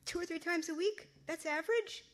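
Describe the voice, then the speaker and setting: Here is an excitable teenage girl pressing her point with one pushy question after another, as a woman reading from her book puts her on. The voice jumps up high and swings about as she pleads, exasperated and insistent, in a storybook reading. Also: normal speed.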